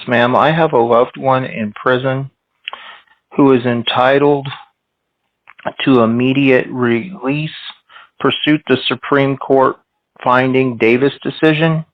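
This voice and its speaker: A man reads a prepared phone message very slowly in a flat, level tone. He is formal and polite, and the dry recording is a little dull and muffled. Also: medium pitch, no room sound. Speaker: caller leaving a voicemail for a court. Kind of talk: reading a scripted phone message. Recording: slightly muffled, dull sound.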